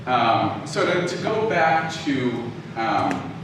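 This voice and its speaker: A man's voice: mid-range voice, very animated, slow pace. Echoey, distant man giving an informal talk. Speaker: audio drama producer giving a lecture. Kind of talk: informal lecture, personal storytelling. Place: live talk in a reverberant room. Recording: echoey room from far off, clear noise.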